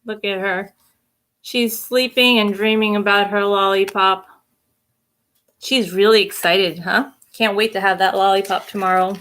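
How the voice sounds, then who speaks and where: A woman's voice: medium pitch, fairly even intonation, measured pace, fairly bright tone. A woman hosting children's story time, reading a storybook expressively with simple asides and questions for young listeners.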